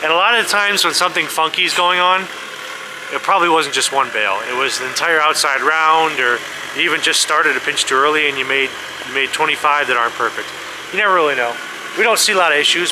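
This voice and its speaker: A young man filming himself in a hay barn on a phone at arm's length, chatting candidly to camera about his farm work. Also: a little high. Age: young adult. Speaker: farm vlogger. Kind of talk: casual vlog narration. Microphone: handheld phone camera at arm's length. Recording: noisy barn with engine hum.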